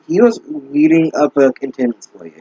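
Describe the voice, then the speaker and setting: A man's voice: fairly high pitch, sing-song, normal speed, gravelly, mellow, husky, dry recording. A young man reading a bad fanfic aloud and mocking it with exasperated, profane, sarcastic remarks. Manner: sarcastic, exasperated, swears often. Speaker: fanfic reader and commentator. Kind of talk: mocking commentary on a bad story. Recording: dull lo-fi dry mic.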